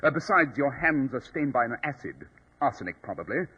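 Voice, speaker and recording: An actor in a 1940s radio drama playing a coolly superior, sardonic detective with a clipped, precise, imperious delivery. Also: male voice, medium pitch, very animated, a little fast, sharp tone, breathy edge, heavily acted. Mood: cool, analytical. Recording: dull, lo-fi old radio recording.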